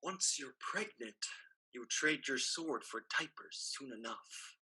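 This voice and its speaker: A man's voice: mid-range voice, lively intonation, slow, sharp tone, slightly rough, full character voice. A man acting a cocky, lewd pirate crewman who taunts and mocks, in a staged play reading. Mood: sneering. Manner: taunting, mocking.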